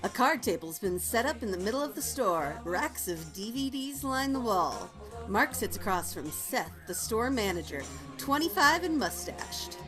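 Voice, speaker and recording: A woman's voice: on the high side, sing-song, moderate pace, music in the background, poor audio. A woman narrating stage directions from a script at a table read.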